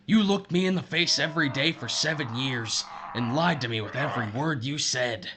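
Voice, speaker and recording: A man's voice: medium pitch, normal speed, very noisy, natural voice. An actor playing a bitter, vengeful missile officer betrayed by his wife, intense and accusatory, in an audio drama. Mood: bitter, accusing.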